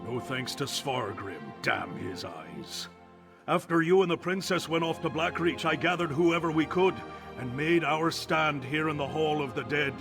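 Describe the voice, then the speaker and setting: A man's voice: fairly high pitch, a little slow, gravelly. An actor playing an earnest male guard commander in a video game, with background music. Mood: grave. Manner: earnest, grave, soldierly. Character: male city guard commander, steady and loyal.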